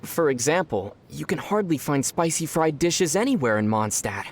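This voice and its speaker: A man playing a calm young traveler hero in an anime video game, gently teasing in a measured way. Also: a little high. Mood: calm, dry.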